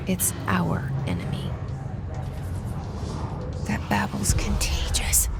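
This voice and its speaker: An actress playing a wary young woman in a video game, speaking in intimate, breathy, musing tones. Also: fairly low pitch. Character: young woman, wary newcomer to a haunted agency, inwardly musing. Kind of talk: video game acting, hushed inner monologue and dialogue. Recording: loud music and ambience behind voice.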